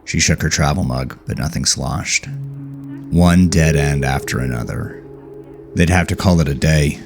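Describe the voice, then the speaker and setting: A man's voice: very deep voice, a little slow, gravelly, music in the background. A man reading scripted horror fiction as an audiobook narrator.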